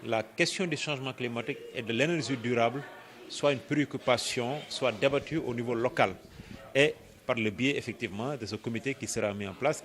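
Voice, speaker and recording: A man with a Senegalese accent gives an explanatory interview answer as a project coordinator, phrasing things deliberately and often hesitating or repeating words. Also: medium pitch.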